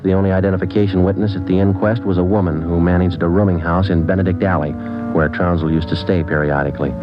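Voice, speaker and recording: An actor playing a matter-of-fact police detective, deadpan and clipped, in a vintage radio drama. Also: male voice, low-pitched, natural voice. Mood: somber, factual. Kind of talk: 1950s radio police drama narration and dialogue. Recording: dull vintage radio recording, loud music.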